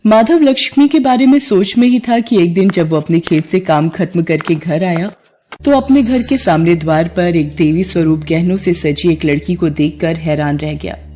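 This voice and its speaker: A woman's voice: lowish voice, moderate pace, dark tone, a little hiss or hum. A woman storyteller reading scripted narration for a devotional moral story.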